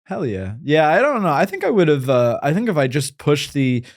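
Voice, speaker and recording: A man's voice: a little high, big pitch swings, a little fast, dry recording, clean studio sound. A young man with a New York accent, hosting a podcast in a laid-back, rambling, self-mocking way. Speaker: podcast host. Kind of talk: casual podcast chat.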